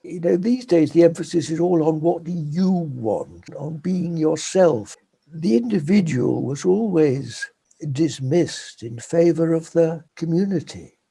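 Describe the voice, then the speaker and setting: An older Englishman, speaking in a gentle, unhurried way as an interview guest and laughing softly between thoughts. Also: fairly high pitch, expressive, gravelly, breathy edge. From England. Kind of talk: reflective interview talk.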